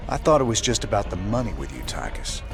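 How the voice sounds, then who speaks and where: Gruff low rebel commander, tired and wry, game cutscene w music behind. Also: male voice, very animated, fast talker. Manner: laconic, weary, sardonic. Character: gruff, world-weary male rebel commander, brooding and loyal. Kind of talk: video game cinematic acting.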